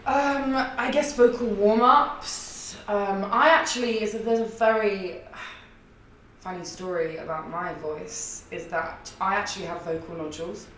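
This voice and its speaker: A young woman with a husky, low voice, chatting as a podcast guest and rambling through stories with frequent laughs. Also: steady tone, unhurried, a bit bright. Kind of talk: casual interview chat. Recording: echoey room, distant mic, faint noise.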